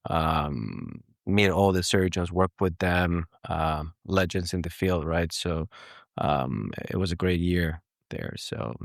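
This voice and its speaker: Deep-voiced man. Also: animated, slow, gravelly, dry recording, studio quality. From Greece and Cyprus. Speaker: guest, plastic surgeon. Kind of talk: casual interview answers.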